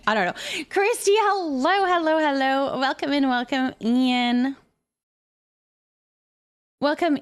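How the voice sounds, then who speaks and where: A woman with a fairly high voice talks casually as a live streamer. She is expressive and informal, responding to her viewers in a sympathetic, conversational way. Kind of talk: casual chat with live stream viewers, reading and answering comments.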